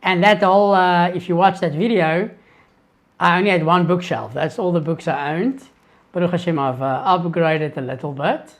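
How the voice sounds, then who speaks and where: A South African man whose first language is Afrikaans, earnestly answering viewer questions in a live-stream Q&A, halting and restarting as he thinks. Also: high-pitched.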